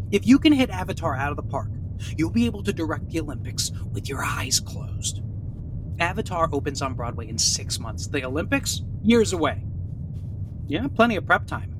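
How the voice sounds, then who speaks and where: A woman playing a scheming junior talent agent in a comedic audio drama, flattering and grandiose in persuasion. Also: lightly acted. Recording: rumbling car noise.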